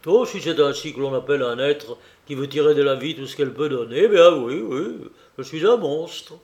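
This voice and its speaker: A man's voice: fairly high pitch, animated, normal speed. A man doing a cynical, ruthless banker villain. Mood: furious gloating. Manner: loud, cynical and cutting. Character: proud ruthless male banker, middle-aged villain. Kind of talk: audiobook reading.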